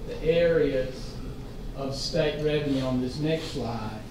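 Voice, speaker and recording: Man presenting a budget at a public board meeting, slow and rambling, recorded from a distance in a very echoey room with steady room noise. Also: mid-range voice. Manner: slow, rambling explanation with many fillers. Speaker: school finance officer presenting budget. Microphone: distant room mic.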